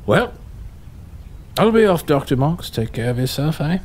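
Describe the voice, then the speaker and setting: A man's voice: fairly high pitch, very animated, normal speed, a little mellow. An actor playing a folksy, greedy foreman who is an old friend of the doctor. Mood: somber. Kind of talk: audio drama acting.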